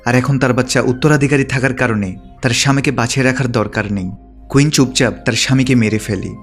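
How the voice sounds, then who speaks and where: A man reading a scripted story recap in a steady, continuous storytelling manner. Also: mid-range voice. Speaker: movie recap narrator. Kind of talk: scripted narration, plot recap.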